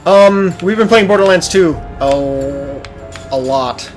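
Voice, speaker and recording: A man's voice: fairly high pitch, slow, faint music behind. A man co-hosting a casual gaming podcast, bantering informally and teasing his friend. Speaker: podcast co-host. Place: one-room apartment.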